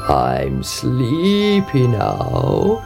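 A storybook frog, played by a woman, croaks out demands in a low voice. The words are dragged out slowly and insistently, with music underneath. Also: huge pitch swings, heavily acted. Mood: sleepy, insistent.

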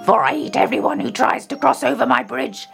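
Creaky growly old troll for a kids story, slow and menacing, bit of music behind. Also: female voice, on the high side. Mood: menacing, hungry. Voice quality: gravelly, creaky roar. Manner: slow, drawn-out threatening delivery. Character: hideous old hungry troll, menacing bridge bully. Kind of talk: children's storybook character voice.

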